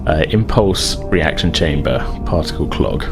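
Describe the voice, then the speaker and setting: Low-voiced man running a tabletop game. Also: lively intonation, measured pace. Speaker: game master. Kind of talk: improvised narration of a tabletop role-playing game. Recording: music behind the voice.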